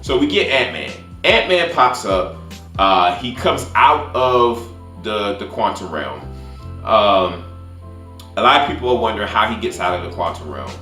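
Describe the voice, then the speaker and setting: A man with a deep voice gives a casual movie review. He talks haltingly, repeating and restarting phrases as he goes. The room adds noticeable echo, and faint music plays behind him. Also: big pitch swings, bright, forceful tone. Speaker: YouTube film reviewer.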